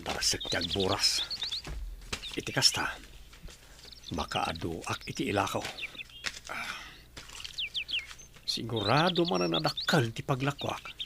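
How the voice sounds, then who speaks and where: A gruff, bossy husband in a radio drama. He has a mid-pitched voice and an Ilocano accent, and speaks in a blunt, grudging way. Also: background noise. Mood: musing. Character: gruff, grudging, tight-fisted husband and tricycle driver.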